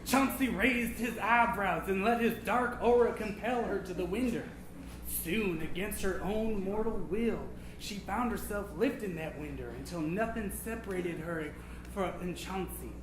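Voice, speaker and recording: A man's voice: high voice, a little slow. A man voicing a vain, absent-minded old vampire, reading a comic storybook aloud in a melodramatic, pompous, campy manner. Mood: grandly mysterious. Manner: melodramatic, pompous, campy, hushed then suddenly loud. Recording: echoey room, noisy live recording.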